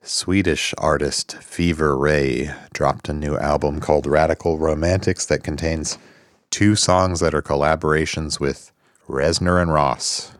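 A man co-hosting a podcast in casual chat, his voice hoarse and raspy from a recent illness, tossing in dry joking asides. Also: rumbling low, steady tone, measured pace, dry recording. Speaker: podcast co-host.

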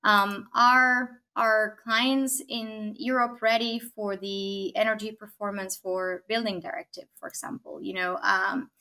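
A woman from Argentina, a technology consultant, speaking as a guest in a podcast interview, thoughtful, with frequent pauses and tag questions. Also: mid-range voice, level tone, sharp tone, low-quality recording. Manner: thoughtful, many fillers and restarts, frequent "right?".

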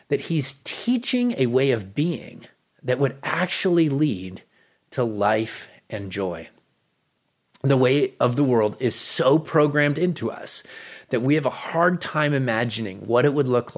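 A man with an American accent speaking as a pastor, reading a devotional in an earnest, deliberate way with pauses for emphasis. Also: medium pitch. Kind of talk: read devotional with earnest teaching and prayer.

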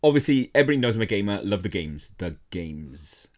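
A man with a Welsh accent co-hosting a casual podcast in a sweary, rambling, excitable way. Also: fairly low pitch, fairly quick. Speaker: podcast co-host. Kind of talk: casual banter between podcast co-hosts.

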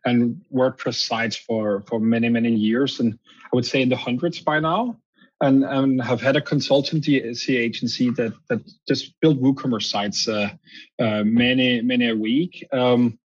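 Husky man with a Danish accent. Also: medium pitch, steady tone, unhurried, muffled recording. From Denmark. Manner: explains at length, often restarting and repeating words. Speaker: guest, company founder. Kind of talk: casual podcast interview.

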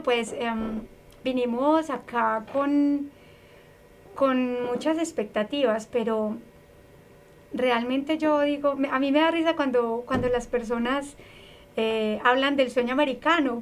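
A woman with a Colombian paisa accent from Medellín, telling her story warmly as an interview guest, with lots of fillers. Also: high voice, slight background noise. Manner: warm and effusive, frequent fillers.

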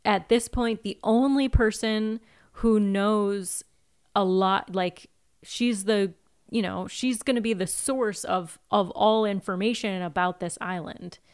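A woman's voice: mid-range voice, takes it slowly, smooth. Female podcast co-host, mid-range voice, informal and opinionated, slips into acted-out lines while recapping television. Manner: animated, opinionated, acts out imagined lines, swears casually. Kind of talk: casual chat, TV show recap.

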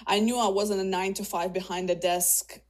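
A chatty woman in her thirties, from Russia with Russian as her first language, telling her career story as a guest. Her voice sits at an ordinary middle pitch, and she breaks off and restarts her thoughts often. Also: steady tone, a little fast. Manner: chatty, many fillers and restarts. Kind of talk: casual interview.

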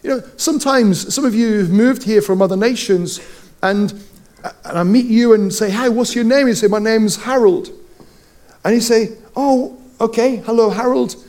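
A man's voice: high voice, moderate pace, darkish tone. A middle-aged Welsh man preaching a sermon, telling personal stories with playful asides. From Wales. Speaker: pastor.

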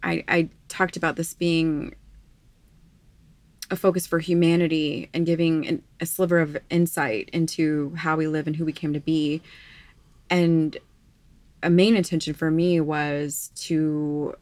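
A young woman hosting a podcast, gently interviewing her partner in casual conversation. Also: low-pitched, little pitch movement, unhurried, no echo. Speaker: podcast host.

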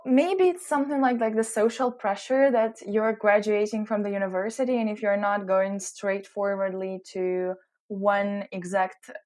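A woman's voice: mid-range voice, darkish tone. A woman in her twenties with a mixed British and American accent, answering interview questions reflectively and searching for words as she talks. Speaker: interview guest, recent graduate.

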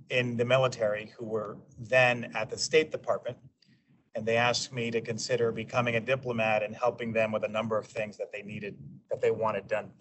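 A man in his forties from New York, a guest in a casual interview, talking in a measured way and often restarting his sentences. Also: mid-range voice, fairly bright tone. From New York, USA. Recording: distant mic with faint noise.

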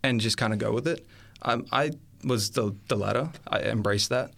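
A man sharing his faith testimony as an interview guest, earnest and reflective, pausing often as he thinks aloud. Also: medium pitch, gravelly, fairly bright tone.

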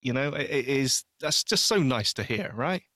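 A man's voice: mid-range voice, expressive, normal speed, bright, forceful tone, no echo, clean studio sound. A man co-hosting a podcast, chatting casually with a teasing, playfully blunt manner. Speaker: podcast co-host. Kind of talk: casual banter chat.

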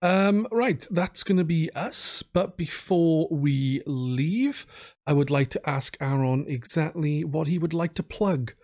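A man's voice: fairly high pitch, slow, darkish tone. A man chatting casually as a podcast host about video games, informal and self-deprecating.

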